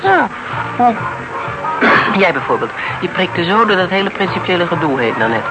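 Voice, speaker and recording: A woman's voice: lowish voice, sing-song, moderate pace, loud music behind the voice, poor audio. An actress playing a principled, reflective old-fashioned woman in a radio drama, speaking candidly with hesitant self-corrections. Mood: musing, earnest. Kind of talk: naturalistic radio drama dialogue.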